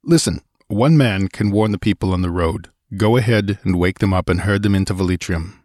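A man reading a story and voicing a gruff, terse barbarian warrior who gives clipped, urgent orders. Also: deep voice, fairly quick, darkish tone, no room sound, studio quality, natural voice. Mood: grim urgency.

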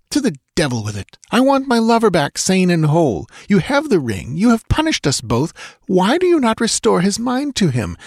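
A proud, defiant young dancer played by a male reader in a fantasy storybook, pitched high. She snaps bitterly and sulkily, with husky breath and lively, swooping intonation. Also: dry recording, clean studio sound, exaggerated voice. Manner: bitter, snapping, sulky.

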